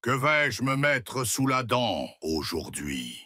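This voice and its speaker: A man voicing a hungry, menacing yet goofy wolf in a children's cartoon tale, with drawn-out theatrical delivery. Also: medium pitch, sing-song, bright, forceful tone, husky, no room sound, clean studio sound, voice pushed up, heavily acted. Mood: hungry, gloating.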